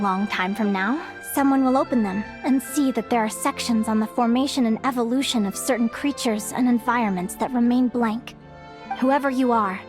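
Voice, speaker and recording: A woman playing a wistful, soft-hearted young woman explorer in an anime-style game, halting and emotive. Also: fairly high pitch, lightly acted. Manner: halting, self-questioning, emotive.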